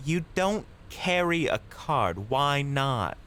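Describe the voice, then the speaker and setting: A man's voice: on the high side, very animated, slow, fairly bright tone, heavily acted. A man playing an impatient, threatening mugger in an audio drama, curt and menacing. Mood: irritated, threatening.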